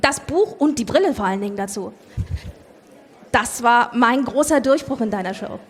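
A woman's voice: on the high side, animated, moderate pace, husky. A woman improvising a comedy radio play as a vain, salesy celebrity author who promotes herself. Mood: smug, salesy. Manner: salesy, self-important. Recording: echoey hall, live workshop performance.

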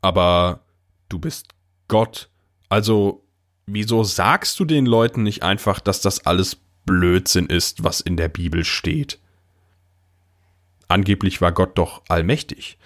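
Low male voice, man acting young puzzled narrator, audio drama, first-person storytelling, measured, understated. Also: fairly bright tone. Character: puzzled boy narrator recounting his talk with God.

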